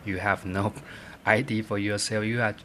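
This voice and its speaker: A man with a lowish voice speaks in halting English, stopping often to search for words. A faint room ambience sits behind him. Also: flat delivery, fairly bright tone, slight background noise. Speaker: interviewee's husband.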